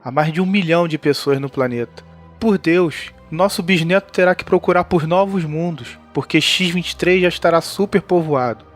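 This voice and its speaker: Higher-voiced reassuring father played by a man. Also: normal speed, faint music behind, natural voice. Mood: matter-of-fact. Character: reassuring futuristic father explaining to his children. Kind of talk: audio drama acting.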